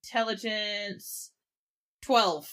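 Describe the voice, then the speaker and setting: A woman's voice: medium pitch, drawn-out words, a bit bright, clear, resonant tone. A woman chatting casually as a player in a tabletop roleplaying game.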